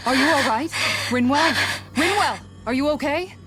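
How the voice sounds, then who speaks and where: A woman's voice: sits high, expressive, moderate pace, fairly bright tone. A woman playing a caring, level-headed young warrior in a video game cutscene, with composed speech. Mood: concerned.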